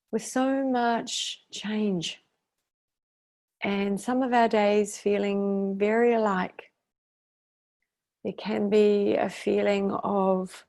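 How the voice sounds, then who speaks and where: A woman guiding a meditation as its teacher, speaking in a soothing, unhurried way with long pauses. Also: mid-range voice, gravelly, dark tone. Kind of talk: guided meditation.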